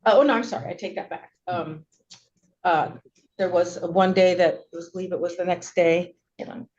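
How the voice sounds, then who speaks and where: A middle-aged woman testifies in a very even, slightly low voice. She is wordy and hesitant, backing up to correct herself, and the courtroom recording is dull with some background hiss. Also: moderate pace. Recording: dull, noisy courtroom audio.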